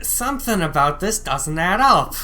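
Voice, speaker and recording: A woman's voice: lowish voice, big pitch swings, normal speed, pushed and bright, a little hiss or hum. A woman co-hosting a casual podcast, summarizing a game in a wry, conversational way. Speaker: podcast co-host.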